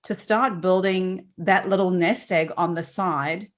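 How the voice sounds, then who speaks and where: A woman's voice: lowish voice, steady tone, measured pace. A woman from South Africa with a South African accent, hosting a podcast in casual, chatty conversation with many asides. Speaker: podcast host.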